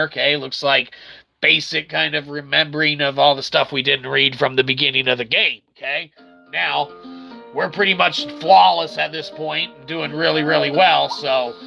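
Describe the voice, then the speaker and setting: A man's voice: on the high side, vocal fry, poor audio. A man giving casual, chatty let's-play commentary as a game streamer, rambling along and tagging his remarks with "okay" and "all right". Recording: loud game music behind the voice. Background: video game music.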